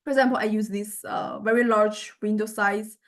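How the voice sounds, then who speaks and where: A woman giving an informal software demonstration lecture, thinking aloud and often searching for words as she explains. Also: medium pitch, steady tone, moderate pace.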